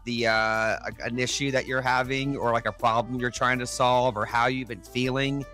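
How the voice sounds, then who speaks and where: A man's voice: mid-range voice, little pitch movement, normal speed, bright, forceful tone, soft background music. A man hosting a casual, playful interview, joking around and piling encouragement on his guests in an effusive way. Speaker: podcast host.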